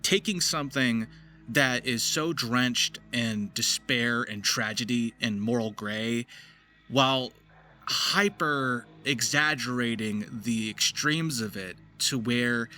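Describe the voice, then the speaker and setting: A man with a bright, forceful voice at an ordinary male pitch gives a casual walkthrough as a voice actor. He explains technique conversationally, with faint music behind him. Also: slow. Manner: slips into gritty character demonstrations. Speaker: voice actor giving tips.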